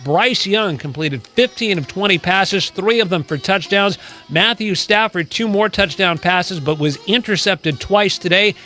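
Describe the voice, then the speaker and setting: A man's voice: sits high, unhurried, bright, forceful tone, faint music behind. A man hosting sports radio, reading a scripted scoreboard update with an announcer's delivery.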